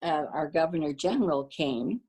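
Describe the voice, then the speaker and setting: An older woman, born to a Danish-speaking family, reminiscing about her community in a casual interview, pausing often with fillers and restarts. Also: low-pitched, animated, breathy edge. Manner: warm storyteller, halting with fillers and restarts. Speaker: guest.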